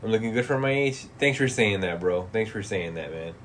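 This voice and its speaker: A man's voice: lowish voice, animated, fairly quick, fairly bright tone, slight background noise. A man in his late thirties chatting casually on a livestream, reading chat messages and replying in a laid-back, profane way that often trails off into mumbles. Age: 30s. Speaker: streamer.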